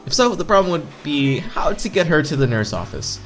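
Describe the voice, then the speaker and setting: Lively man reading a visual novel aloud. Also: on the high side, brisk. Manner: playful, stumbles over words. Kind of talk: casual read-aloud narration with side comments. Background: visual novel background music.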